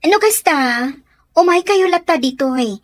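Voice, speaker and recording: A woman with an Ilocano accent playing a polite, soft-hearted young working woman in a radio drama. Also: very high-pitched, lively intonation, normal speed. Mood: kindly.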